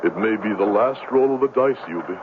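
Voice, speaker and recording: A pompous American drifter posing as a reverend, played by a man, speaks in florid, theatrical phrases. His low voice swoops up and down. It comes through a tinny, hissy old radio drama recording. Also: animated, fairly quick, close to an everyday voice. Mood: smooth persuasion. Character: grandiloquent swindling phony reverend, silver-tongued drifter. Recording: noisy, tinny vintage radio broadcast.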